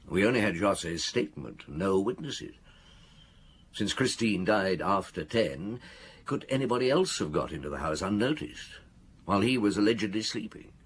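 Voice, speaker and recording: An actor playing a seasoned, middle-aged chief inspector, wry and measured, recounting a case in a radio drama. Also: male voice, low-pitched, slight background noise. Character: seasoned male police chief inspector, reflective, wry storyteller.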